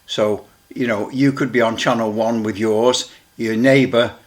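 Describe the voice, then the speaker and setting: Halting man casually demonstrating equipment. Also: mid-range voice, steady tone. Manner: halting, rambling, repeats himself. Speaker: radio hobbyist presenter.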